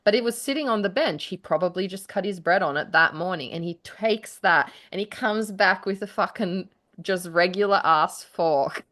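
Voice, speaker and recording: Lower-voiced woman chatting effusively. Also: moderate pace, few pauses, a bit bright. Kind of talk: casual chat between writers.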